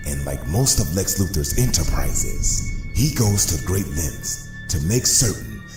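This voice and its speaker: A man voicing a menacing, scheming crime boss in a comic-book cartoon, with a gravelly voice and a deliberate, theatrical delivery. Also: big pitch swings, roomy sound, heavily acted. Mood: boastful, calculating. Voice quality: gravelly, very deep. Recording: music behind the voice. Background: music.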